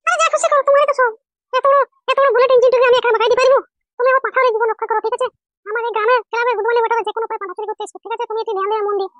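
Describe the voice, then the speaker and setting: A performer voicing a bossy, coaxing village goat owner woman with a squeaky voice in a comedy cartoon. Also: steady tone, very fast, a bit bright, no room sound. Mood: warning. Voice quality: squeaky, artificially high voice.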